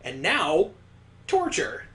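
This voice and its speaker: Brief: wry male let's-play host, fairly high voice, incredulous remarks, roomy echo. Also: wildly swooping pitch, takes it slowly, a bit bright. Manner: sarcastic, swearing, incredulous asides. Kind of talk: let's play commentary and visual novel narration.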